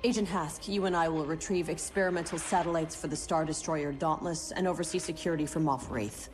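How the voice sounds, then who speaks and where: A disciplined young military officer played by a woman speaks in a low register. She is formal and clipped, a sci-fi game commander with music behind her. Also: fairly even intonation, a little fast, close to an everyday voice. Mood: briefing. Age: young adult. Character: disciplined young female imperial special forces commander. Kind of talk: cinematic video game acting.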